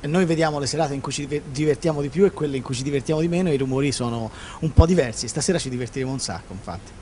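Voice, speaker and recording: A man's voice: mid-range voice, racing, warm tone. A man in his forties, a sound-effects performer, gives informal interview answers into a handheld microphone. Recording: faint room noise.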